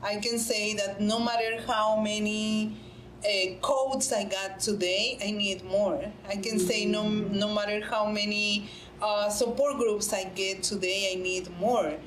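A woman's voice: medium pitch, fairly even intonation, unhurried, faint music behind. Earnest woman panelist in a roomy hall. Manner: earnest, repeats phrases for emphasis, many restarts. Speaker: panelist, nonprofit director. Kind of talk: panel discussion answer. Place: conference room, indoors. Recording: roomy conference room with faint hum.

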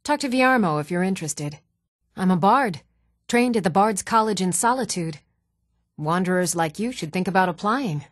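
An actress playing an even-toned fantasy townswoman in scripted video game dialogue, with a measured, composed delivery. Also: medium pitch, no room sound, studio quality, natural voice. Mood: friendly, matter-of-fact.

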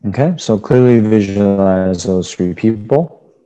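Low-voiced man guiding a meditation. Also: unhurried, warm tone, smooth, low-quality recording. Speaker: meditation teacher, Buddhist monk. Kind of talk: guided meditation instruction, unscripted.

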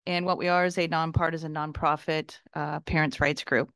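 A woman speaks at a podium microphone during public comment in a large boardroom, her words broken by many ums. Her voice is lowish and smooth. The sound is dry and close. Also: little pitch movement, normal speed, a bit bright, dry recording.

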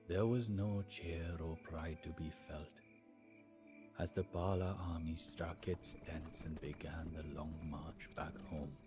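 An actor playing a sorrowful, devout adviser to an Indian emperor, narrating the story in a solemn, measured, theatrical way for a game. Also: male voice, deep voice. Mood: sorrowful, hushed. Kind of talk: dramatic scripted storytelling narration.

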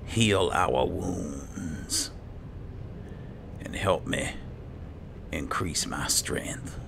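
A man hosting a roleplay playthrough of a game, murmuring and musing in character in a gravelly, breathy voice. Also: very deep voice. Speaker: gaming roleplay host. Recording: clear noise and game ambience behind.

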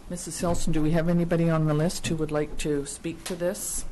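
A man's voice: on the high side, little pitch movement, brisk, dark tone, slight background noise. A man politely and procedurally chairing a public hearing in a council chamber.

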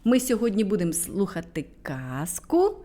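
A woman's voice: medium pitch, wildly swooping pitch, normal speed, mellow. A woman telling a fairy tale to small children in a playful storyteller manner, dropping to a whisper at moments.

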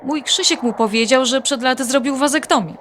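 A woman's voice: high-pitched, brisk, fairly bright tone, a little hiss or hum. An actress playing a worried, wry adult daughter confiding her troubles in a naturalistic audio drama. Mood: distressed, confiding.

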